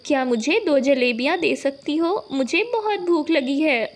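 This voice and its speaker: Humble, sorrowful young widow played by a woman, high plaintive voice, polite and hesitant, for a moral-story cartoon. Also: measured pace. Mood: meek, pleading. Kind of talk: animated moral story dialogue.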